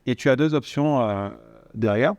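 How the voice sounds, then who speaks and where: A man's voice: medium pitch, moderate pace, darkish tone, no room sound. Man from Cameroon telling his story. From Cameroon, moved to France at thirteen. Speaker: podcast guest. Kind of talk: personal storytelling in a conversation. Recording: music behind. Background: music.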